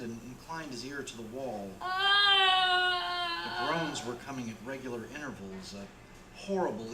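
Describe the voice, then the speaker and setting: A man narrating a radio play in a dramatic storytelling style. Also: medium pitch. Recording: roomy with clear background noise.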